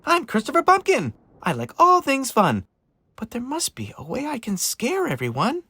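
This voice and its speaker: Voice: mid-range voice, huge pitch swings, normal speed, pushed and bright, husky, dry recording, voice pushed up, full character voice. A performer voicing a kind, cheerful pumpkin come to life in a children's storybook, sweet and eager to please. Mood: worried.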